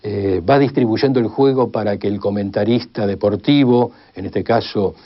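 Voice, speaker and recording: A man's voice: on the low side, fairly even intonation, normal speed. A man with an Argentine accent giving a formal expert explanation in long, list-like sentences. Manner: formal, builds long enumerations. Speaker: expert commentator on radio history. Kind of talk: measured spoken exposition. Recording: slightly dull, band-limited sound.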